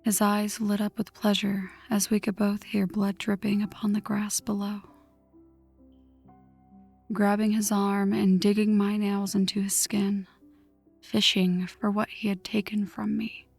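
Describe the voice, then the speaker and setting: A woman voicing a vengeful, newly turned vampire in a hushed, breathy ASMR story narration, slow and dramatic. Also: mid-range voice, monotone. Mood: tense, vengeful. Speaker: audio roleplay narrator. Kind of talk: ASMR scripted first-person story narration. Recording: music under the voice. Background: background music.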